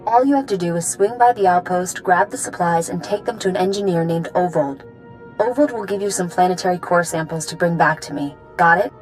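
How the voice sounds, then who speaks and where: An actress playing a brisk, practical young woman who hands out missions in a video game, matter-of-fact in manner. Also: fairly low pitch, soft background music, lightly acted, poor audio. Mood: instructive.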